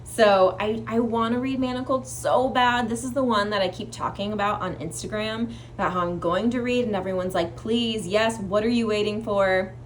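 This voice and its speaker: A woman's voice: mid-range voice, normal speed, few pauses. Female book vlogger, mid-range voice, informal and rambling, chatting to viewers over faint background noise. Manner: informal, rambling, self-interrupting. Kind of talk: casual chatty vlog to camera.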